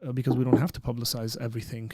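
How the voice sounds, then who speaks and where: A man in his thirties hosts a relaxed live stream chat, rambling along with lots of fillers and restarts. His voice sits at a middle pitch, and the recording is close and dry with no room sound. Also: very fast, breathy edge, no room sound. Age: 30s. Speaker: host of a live birthday stream. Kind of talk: casual chat. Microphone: streaming setup with green screen.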